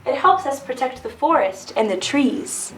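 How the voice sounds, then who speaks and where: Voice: high voice, expressive, normal speed, a bit bright. An amateur performer with a female voice playing a cooperative storybook protector of the forest in reader's theater. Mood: earnest. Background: clear background music.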